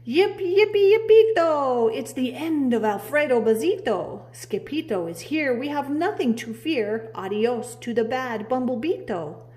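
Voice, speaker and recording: A woman voicing a band of excitable little Chihuahuas in a storybook, chanting and exclaiming in a dramatic singsong. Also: high-pitched, unhurried, few pauses, voice pushed up. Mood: jubilant.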